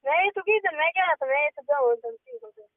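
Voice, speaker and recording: A woman's voice: very high voice, animated, brisk, vocal fry, dry recording. A young woman with a Rajasthani accent talking informally on a phone call in brief, clipped replies. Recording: tinny band-limited phone call recording.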